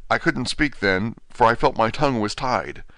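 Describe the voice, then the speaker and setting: A man's voice: medium pitch, normal speed, pushed and bright, no echo, voice pushed up, close to an everyday voice. A man voicing a dying asylum patient, weak and frantic, pleading in urgent gasps. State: weak, near death.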